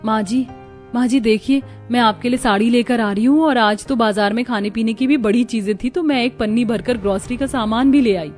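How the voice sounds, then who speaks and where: A woman playing a devoted, anxious young wife in an animated family story. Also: on the high side, a little fast, faint music behind, close to an everyday voice.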